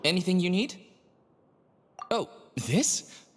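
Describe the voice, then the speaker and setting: Earnest recruiter in a reverberant hall. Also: male voice, high-pitched, very animated, slow, background noise, heavily acted. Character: courteous member of an old mutual aid brotherhood. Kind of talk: video game character acting. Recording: long hall reverb, music underneath.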